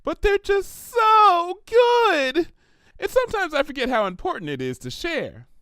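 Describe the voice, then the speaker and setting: A man's voice: extremely high pitch, very animated, a little slow, sharp tone, dry recording, studio quality, pitched above the performer's own voice, full character voice. A man voicing a cheerful, hyperactive, sweet-toothed young female cartoon pony in a bubbly, gushing, rambling way for a fan fiction reading.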